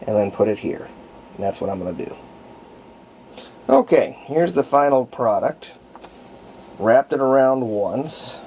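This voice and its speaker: A man narrating his repair of an old radio in a halting, casual way, thinking aloud as he works. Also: medium pitch, a little mellow, a little hiss or hum. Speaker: radio restorer.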